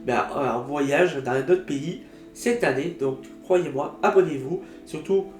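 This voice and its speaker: Male vlogger with a cold, animated storyteller, roomy sound, soft guitar bed. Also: a little high, a little slow. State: has a cold. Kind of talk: casual storytelling vlog.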